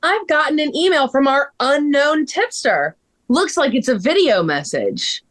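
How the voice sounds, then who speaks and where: A woman's voice: high-pitched, very animated, unhurried, fairly bright tone, exaggerated voice. A woman playing a plucky, upbeat amateur sleuth in a scripted table read, with an eager manner. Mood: cheerful.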